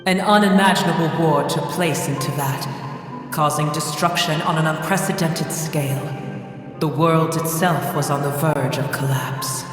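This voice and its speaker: A man's voice: a little high, fairly even intonation, normal speed, music in the background. A man voicing an ancient, proud dragon in a video game, speaking in a grave, formal, imperious manner with a heavy echoing effect. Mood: grave, reminiscing. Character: ancient proud dragon, vast, solemn and scornful of humans. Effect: heavy echoing reverb. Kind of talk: English video game voice acting. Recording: cavernous echo with long reverb.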